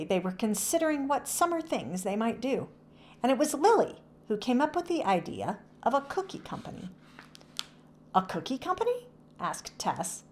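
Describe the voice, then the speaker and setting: A woman reads a children's storybook aloud. Her pitch moves up and down in a lively way as she narrates, in a medium register.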